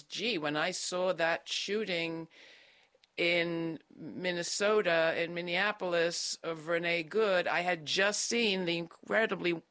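A middle-aged woman hosting an interview, asking questions in a measured, searching way. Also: deep voice, fairly bright tone, no echo. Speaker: host.

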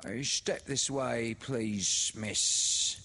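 A man's voice: medium pitch, extremely slow, creaky, pushed and bright, pitched above the performer's own voice, heavily acted. Man putting on an oily, sly little woman. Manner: wheedling and falsely polite.

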